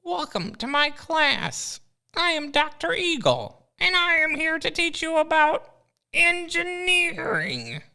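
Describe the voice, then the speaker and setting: A woman's voice: sits high, sing-song, slow pace, bright, forceful tone, exaggerated voice. A woman doing a crowing villain eagle professor. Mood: smug. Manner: pompous, crowing.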